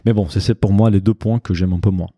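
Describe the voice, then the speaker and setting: A man's voice: fairly low pitch, steady tone, fast, dark tone, no echo. A man whose first language is Portuguese, talking casually and frankly about podcasting, with hesitations. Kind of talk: casual interview answer.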